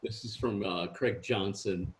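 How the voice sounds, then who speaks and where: A man co-hosting, reading out an audience question in pausing pieces, in roomy, echoing sound. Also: fairly low pitch, a little hiss or hum. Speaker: co-host.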